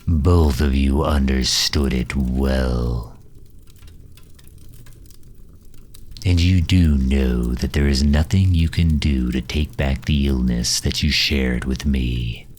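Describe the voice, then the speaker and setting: A man playing a grave, haughty dark knight who absorbs souls, speaking formally and deliberately with a deep, breathy, husky voice in an audio roleplay. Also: exaggerated voice. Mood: scornful, grave. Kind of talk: slow dramatic audio roleplay monologue.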